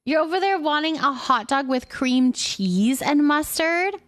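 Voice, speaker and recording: A woman's voice: high-pitched, lively intonation, unhurried, fairly bright tone. A woman chatting casually and playfully as she hosts a livestream and responds to viewers' comments. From Canada. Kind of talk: casual chat, reading and answering viewer comments.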